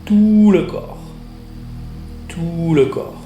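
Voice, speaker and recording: Slow-paced man guiding relaxation, higher-pitched voice. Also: highs cut off. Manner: measured instructions in short phrases with pauses. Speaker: yoga nidra instructor. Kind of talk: guided relaxation meditation. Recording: roomy echo, music behind the voice.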